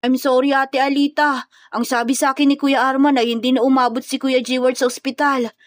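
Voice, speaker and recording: A respectful teenage boy, voiced by a female narrator in a romance drama story. He sounds apologetic and polite, in a fairly high voice with a dry sound. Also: little pitch movement, fairly quick, no room sound, close to an everyday voice. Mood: sorry, subdued.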